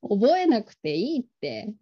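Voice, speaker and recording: A woman's voice: on the high side, big pitch swings, unhurried, breathy edge, full character voice. A woman playing a young adult daughter who casually teases and wheedles her father in a radio drama. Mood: breezy. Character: coaxing, teasing grown daughter pleading with her father. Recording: dull lo-fi audio.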